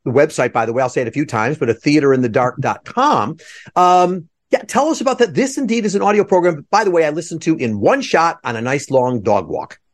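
A man's voice: a little high, lively intonation, fairly quick. A man hosting a conversational interview, chatty and digressive, with asides to listeners. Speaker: podcast host interviewing theater people.

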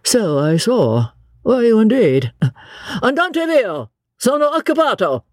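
A reader voicing an officious male clergyman in an audiobook story, formal, measured and condescending. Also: mid-range voice, expressive, mellow, no room sound, clean studio sound. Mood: curt, disapproving. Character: bland, officious English clergyman, disapproving and courteous.

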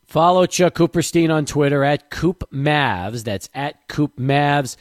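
A man's voice: a little high, slow, no room sound. Dry-voiced male sports radio host. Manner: chatty, leading questions. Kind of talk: radio interview hosting.

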